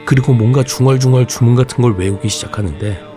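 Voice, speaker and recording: A man narrating a ghost story in a casual, slow and suspenseful way. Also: medium pitch, music in the background.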